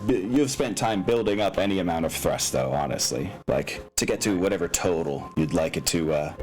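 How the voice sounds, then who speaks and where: Male game master, low voice, casual and hesitant, thinking aloud over background music. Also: lively intonation, fairly quick, darkish tone. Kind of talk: narrating a tabletop mech game.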